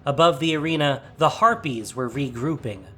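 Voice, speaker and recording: A man's voice: on the high side, moderate pace, bright, forceful tone. A man reading a scripted, dramatic story as its narrator. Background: music.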